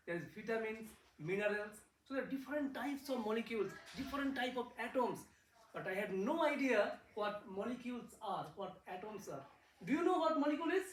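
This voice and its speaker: A man with an Indian accent, a physics researcher, explaining science to children in simple words and asking them questions. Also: sits high. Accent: Indian English. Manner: simple words, asks the kids questions, repeats words for emphasis. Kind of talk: classroom talk to kids. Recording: echoey room, far from the mic, faint noise.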